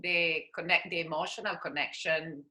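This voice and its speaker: A middle-aged woman whose voice sits very low but sounds bright and sharp. She is a podcast guest who speaks carefully, pausing on fillers as she finds her words. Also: steady tone, rough recording. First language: Italian. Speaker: podcast guest, company founder. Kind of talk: casual interview chat.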